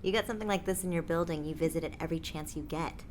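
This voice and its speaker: Odd female apartment dweller played by an actress, American, lower register, blunt, offhand and sweary, naturalistic audio drama. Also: racing, a little hiss or hum, close to an everyday voice. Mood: eager. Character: odd, blunt female apartment tenant, obsessed with a mysterious hole.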